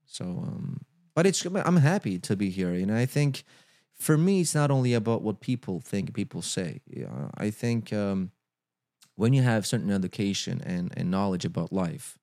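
Low-voiced man. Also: animated, dark tone, no echo, studio quality. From Georgia. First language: Dutch. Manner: halting, repeats words, many you-knows. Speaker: guest actor. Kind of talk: casual interview chat.

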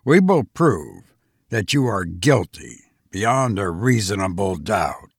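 A man's voice: deep voice, very animated, slow pace, gravelly, husky, no echo, studio quality, pitched below the performer's own voice, full character voice. A man voicing a confident young male prosecutor, grave and accusing, in formal audiobook oratory.

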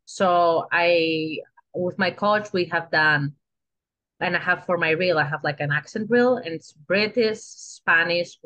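A woman's voice: fairly low pitch, fairly bright tone. A woman with a Greek accent, a trained actress and guest on a podcast, talking casually and often restarting her sentences. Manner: chatty, restarts sentences, asks "you know?" often.

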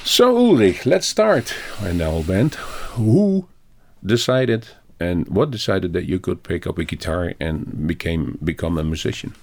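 Radio host, middle-aged, low gravelly voice, lively pitch, rambling restarts. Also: male voice, measured pace. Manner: animated, rambling, many restarts and fillers. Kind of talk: casual radio interview. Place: radio studio.

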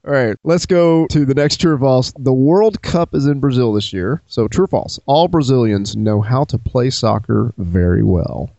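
A man's voice: medium pitch, normal speed, creaky, hardly any pauses, dark tone, dry recording, muffled recording. A man co-hosting a podcast, asking questions in a playful, teasing way. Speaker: podcast co-host. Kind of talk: casual interview talk.

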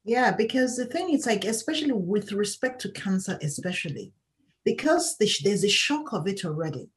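A woman's voice: mid-range voice, animated, moderate pace. A woman guest in an interview, talking candidly as a patient advocate in an emphatic, outspoken way and laughing often.

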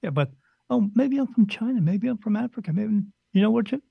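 A man's voice: high voice, lively intonation, fairly quick, creaky, husky, no room sound, dull audio. A man who works as a spirit medium, talking casually on a podcast in a blunt, rambling way and slipping into other people's voices.

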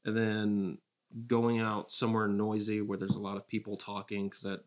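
A man's voice: on the low side, completely flat delivery, moderate pace. A man chatting casually as a guest in a comedy interview, rambling and joking as he corrects himself. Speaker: comedy podcast guest, sketch writer.

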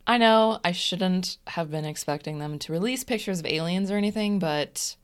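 A woman's voice: on the low side, normal speed, smooth. A young woman co-hosting a casual podcast, teasing her friend and laughing often. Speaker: podcast co-host. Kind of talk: casual true crime podcast chat.

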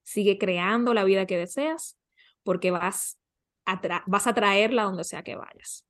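A woman's voice: medium pitch, measured pace, fairly bright tone. A woman speaking as a podcast guest and coach, giving conversational advice and explaining through questions and examples.

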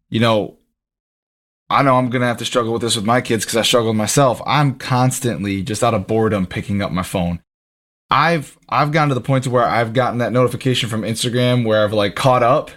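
A man's voice: medium pitch, a bit bright. A man of about thirty hosting a podcast, who jokes about himself and asks long, rambling questions. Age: 30s. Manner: casual, self-joking, asks rambling questions. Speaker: podcast host. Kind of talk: conversational podcast interview.

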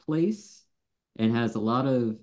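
A man's voice: medium pitch, animated, slow, darkish tone. A man from Buffalo, New York, chatting casually as a guest on a podcast. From Buffalo, New York; Florida from early teens. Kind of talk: casual chat.

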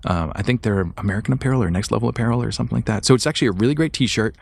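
A man's voice: fairly low pitch, very fast, gravelly, darkish tone, husky, no room sound. A man hosting a podcast solo, chatting casually and informally, speaking straight to his listeners. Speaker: podcast host.

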